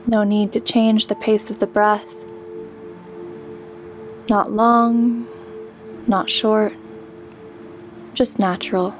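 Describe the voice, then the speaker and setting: A woman guides a meditation in a calm, gentle manner. Her delivery is very slow and level, with little rise or fall in pitch, and spacious pauses between phrases. Music plays behind her voice. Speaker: meditation guide, yoga teacher. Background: background music.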